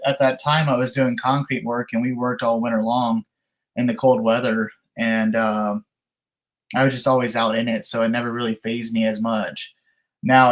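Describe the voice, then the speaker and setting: A man's voice: mid-range voice, rough recording. A man in his early thirties chatting casually as a live-stream guest, with a folksy, down-to-earth, colloquial way of talking. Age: 30s. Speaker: guest, outdoor camping creator. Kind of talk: casual live-stream chat about camping.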